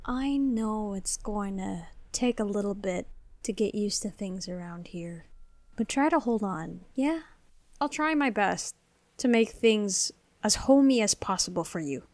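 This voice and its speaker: A woman's voice: medium pitch, animated, a little slow, darkish tone, no echo. A woman playing a laid-back, westernized aunt, speaking casually and encouragingly with some exaggerated words. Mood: reassuring. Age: adult. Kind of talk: casual animated-comic dialogue.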